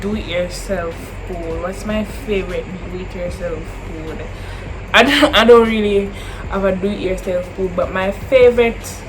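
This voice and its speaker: A woman's voice: mid-range voice, moderate pace, music in the background, rough recording. A twenty-year-old woman with a Jamaican accent, answering viewer questions in a casual chat to camera, slipping into Creole phrasing and repeating herself as she talks.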